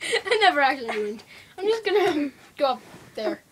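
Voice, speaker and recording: Restless, mischievous sidekick played by a woman, high and playful, homemade game skit with faint noise. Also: big pitch swings, moderate pace, a bit bright. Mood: scatterbrained. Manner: playful, scatterbrained. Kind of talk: improvised amateur role-play skit.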